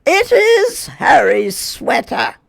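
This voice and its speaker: Storyteller putting on high-voiced old grandmother. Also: wildly swooping pitch, slow pace, gravelly, no echo, studio quality, exaggerated voice. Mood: amazed. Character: kindly, spirited old grandmother.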